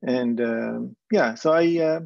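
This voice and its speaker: A man from Palestine who works as a clown, speaking on a panel with hesitant pauses and many fillers. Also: medium pitch, gravelly, a little mellow, no room sound, lo-fi sound. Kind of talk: panel talk.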